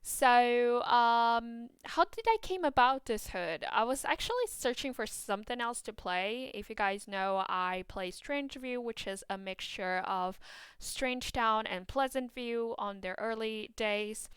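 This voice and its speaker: A woman with a Portuguese accent, chatting excitedly about a video game as a gaming YouTuber, with many fillers and restarts. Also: on the high side, unhurried, clear, resonant tone, no room sound.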